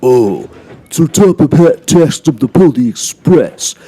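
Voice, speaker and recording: Man voicing an indignant, high-pitched grumbling steam engine. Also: expressive, slow pace, mellow, husky, full character voice. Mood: resentful. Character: complaining, resentful male steam engines.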